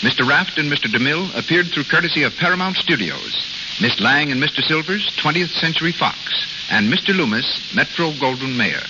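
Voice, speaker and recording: A man's voice: on the high side, expressive, brisk. A man announcing formally from a script in the style of 1930s radio. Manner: formal, list-reading delivery. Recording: muffled, hissy vintage radio recording.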